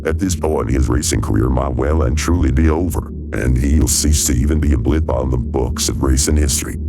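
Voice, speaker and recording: A man's voice: extremely low pitch, gravelly. A man reading scripted narration for a sci-fi radio play. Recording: loud music under the voice.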